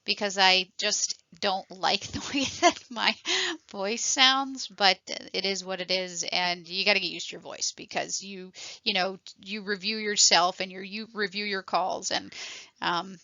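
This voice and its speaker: Bright-voiced, rambling woman nurse presenter. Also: medium pitch, fairly even intonation, a little slow, no echo, muffled recording. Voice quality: self-described voice like a frog puppet. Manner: rambling, enthusiastic, many fillers and restarts. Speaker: telephone triage nurse and course presenter. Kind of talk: casual motivational presentation.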